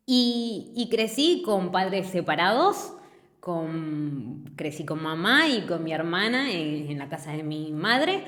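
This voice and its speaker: Argentine woman, lowish voice, reflective, rambling storyteller, echoey room. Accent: Argentine, Buenos Aires. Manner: earnest, reflective, rambling with restarts. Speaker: fitness business co-founder, guest. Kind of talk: relaxed conversational interview.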